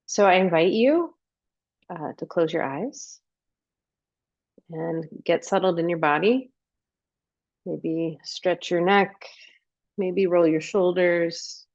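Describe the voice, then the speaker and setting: Female meditation guide, low register with noticeable vocal fry, gentle step-by-step instruction. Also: slow, long pauses, no echo, highs cut off. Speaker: meditation guide, wellness coach.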